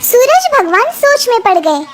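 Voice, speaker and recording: Kids story narrator, super high childlike voice, really animated, loud music underneath. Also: measured pace.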